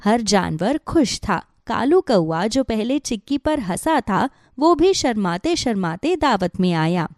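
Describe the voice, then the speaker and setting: A woman's voice: medium pitch, sing-song, unhurried, hardly any pauses, dry recording, clean studio sound. A woman narrating a scripted children's animal story.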